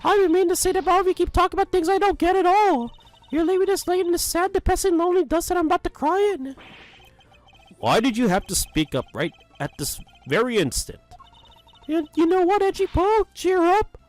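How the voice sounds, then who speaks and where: A performer voicing a clingy, dramatic old woman in a fan dub of a game, shrill and gushing. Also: very animated, brisk, faint music behind. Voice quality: shrill, high and loud. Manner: gushing, scolding, rambling.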